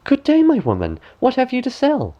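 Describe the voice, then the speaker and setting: Storybook heroine: innocent young maiden played by a man, lifted pitch, sweet, trusting, earnest. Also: wildly swooping pitch, moderate pace, dark tone, highs cut off, heavily acted.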